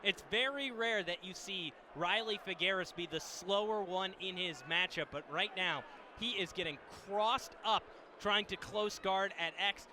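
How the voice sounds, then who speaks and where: An American man analyzes a live game on radio in a high, very sharp and forceful voice. He is conversational and frankly critical. Faint venue ambience runs behind him. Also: a little hiss or hum.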